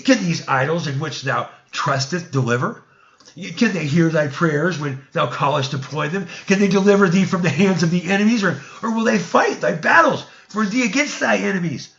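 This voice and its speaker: A man's voice: fairly high pitch, gravelly, pushed and bright, exaggerated voice. A man voicing an indignant, righteous son declaiming against idols in a scripture reading, in a lo-fi recording. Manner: declamatory, exhorting. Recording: dull, lo-fi sound.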